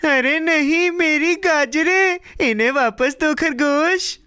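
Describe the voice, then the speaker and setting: Excitable high-pitched little cartoon boy. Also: slow pace, faint music behind, heavily acted. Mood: indignant, possessive. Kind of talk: children's cartoon dubbing.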